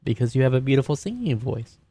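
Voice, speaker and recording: A man's voice: medium pitch, very animated, quick pace, mellow, husky, no room sound. A man playing a tabletop roleplaying game, casually narrating his character's actions and lines.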